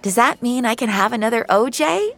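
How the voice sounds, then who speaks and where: A woman voicing an excitable, vulnerable little girl in an audio drama. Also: a little high, brisk, pitched above the performer's own voice. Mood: eager. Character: frightened, eager little girl.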